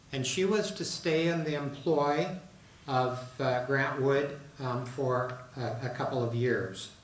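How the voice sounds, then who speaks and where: An older man giving a measured public lecture from a podium, with frequent fillers and restarts. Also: mid-range voice. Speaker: guest lecturer. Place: large lecture room with a seated audience. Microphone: podium microphone, recorded by a far camera. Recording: roomy hall with faint noise.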